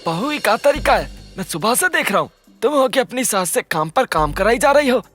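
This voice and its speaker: An actor voicing a troubled older father in a Hindi animated story, with a husky voice, scolding and pleading. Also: mid-range voice, fairly quick, dry recording, faint music behind. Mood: reproachful.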